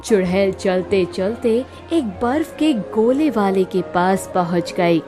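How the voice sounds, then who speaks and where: A woman's voice: mid-range voice, animated, takes it slowly, over music. A woman narrating a scripted cartoon story as its storyteller.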